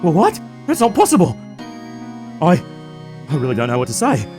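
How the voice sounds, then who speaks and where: A woman's voice: low voice, huge pitch swings, moderate pace. A woman playing an anxious, meek man with a hesitant, pleading manner in a video game fan dub. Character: anxious, meek male actor accused of murder. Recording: music behind the voice.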